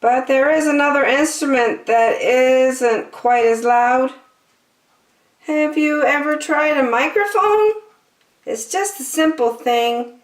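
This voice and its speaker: A woman's voice: high voice, slow, echoey room, pitched above the performer's own voice, rough recording. A woman voicing a kind, encouraging female sheep with a sing-song manner for a picture book. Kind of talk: picture book read-aloud.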